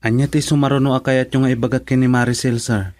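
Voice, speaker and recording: A man acting a dutiful employee who addresses his boss with respect. It is radio drama, in a medium-pitched voice with a dry, close sound. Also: level tone, fast, darkish tone, no echo, close to an everyday voice. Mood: deferential.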